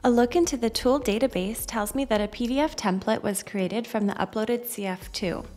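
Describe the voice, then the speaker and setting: A woman reading a scripted software demonstration in a measured, instructional way. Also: fairly high pitch. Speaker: software demo narrator. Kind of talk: scripted tutorial narration. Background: background music.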